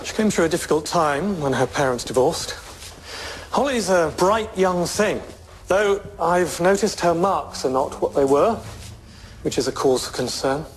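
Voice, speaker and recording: An actor with an English accent playing a polite music teacher, speaking formally and carefully in an audio drama. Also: male voice, fairly high pitch, expressive, unhurried, slight background noise, rough recording. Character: polite, measured male school music teacher. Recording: faint background ambience.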